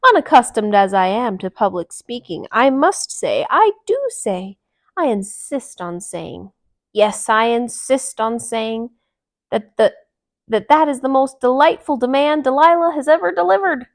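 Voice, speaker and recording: A woman's voice: fairly high pitch, animated, unhurried. A woman voicing a genial male storybook animal who speaks in a pompous, flowery, speechmaking manner. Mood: grand, pleased. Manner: grandiose, oratorical, alliterative.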